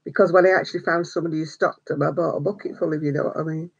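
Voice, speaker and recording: A woman's voice: low-pitched, fairly even intonation, rapid-fire, husky. An older woman hosting a casual live stream, chatty and greeting viewers by name between little laughs. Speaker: crochet vlogger. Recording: slightly dull home stream audio.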